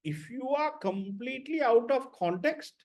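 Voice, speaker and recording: A man's voice: very high-pitched, animated, measured pace, a little mellow. A man lecturing students in an online class, blunt and repetitive, often checking with "right?".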